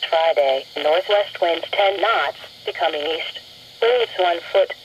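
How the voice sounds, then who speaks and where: A woman's voice: lowish voice, slow pace. A synthetic-sounding woman announcer reading a scripted marine weather forecast on weather radio. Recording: muffled, noisy weather radio broadcast.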